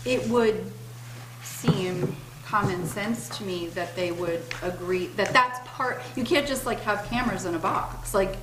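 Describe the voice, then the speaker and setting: A woman's voice: medium pitch, unhurried, noisy background. A woman on a town board reasoning through a question aloud at a public meeting. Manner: thinks aloud, frequent "like". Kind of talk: meeting discussion. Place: town hall meeting room. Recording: echoey room with people around.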